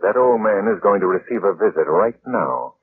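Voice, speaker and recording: An actor playing a composed, astute gentleman sleuth, measured and decisive, in 1940s radio style. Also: fairly low pitch, animated, close to an everyday voice. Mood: pondering, confident. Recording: tinny, faintly noisy vintage radio recording.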